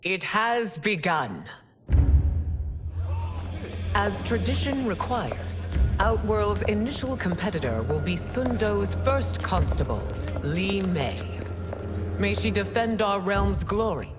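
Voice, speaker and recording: An imperious empress in a game cutscene. She sounds regal and coolly condescending, her pitch moving widely, over an orchestral score. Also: big pitch swings, takes it slowly, music in the background. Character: regal imperious female ruler, cold.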